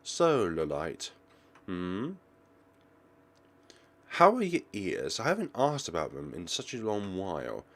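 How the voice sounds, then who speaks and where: A man's voice: sits low, wildly swooping pitch, slow, pauses often, exaggerated voice. A man voicing a friendly, caring teenage schoolgirl best friend in a visual novel. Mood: caring, curious.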